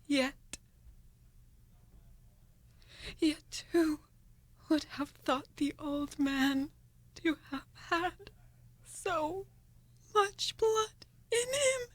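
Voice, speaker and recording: A woman acting a guilt-tormented, sleepwalking queen in a Shakespearean radio play, muttering in a halting, haunted, theatrical way. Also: sits high, dry recording. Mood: anguished.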